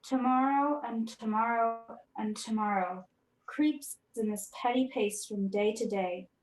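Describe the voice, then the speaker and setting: A woman's voice: fairly high pitch, natural voice. A young woman playing a defiant, imperious tyrant king in a radio Shakespeare play, declaiming verse in a commanding manner. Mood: bleak. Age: young adult. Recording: echoey room.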